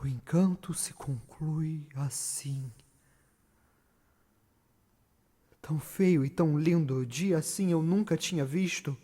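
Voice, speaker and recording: A Brazilian man playing eerie, prophesying witches in a declamatory, incantatory theatrical Shakespeare monologue. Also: on the high side. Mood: eerie murmur. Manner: declamatory, drawn-out, swinging from hush to loud chant. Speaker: stage actor. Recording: long reverberant hall echo.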